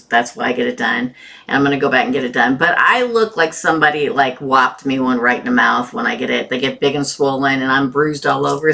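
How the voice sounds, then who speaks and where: A woman's voice: rumbling low, gravelly, bright, forceful tone. A woman hosting a tarot live stream with a sore throat, chatting casually and greeting viewers by name.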